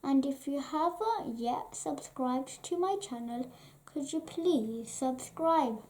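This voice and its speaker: A children's story reader and channel host reading a bedtime picture book aloud in an expressive, deliberate way. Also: high-pitched.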